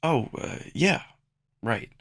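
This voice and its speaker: A man acts out a young human guy in a visual novel, wary and unsure. He talks casually and hesitantly, a bit groggy, with a lively pitch range. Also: slow, creaky. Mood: groggy, reluctant. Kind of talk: visual novel character dub.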